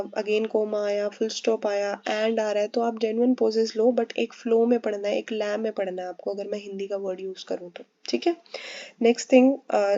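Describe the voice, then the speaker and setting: A young woman walks students through test tasks, switching easily between Hindi and English and pausing often to check they follow. Her voice sits a little high yet mellow, close on a dry mic with a dull, lo-fi sound. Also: dry recording. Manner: explains patiently, with frequent "theek hai" and "like" check-ins. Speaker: PTE English test tutor. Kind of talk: Hindi-English mixed tutorial explanation.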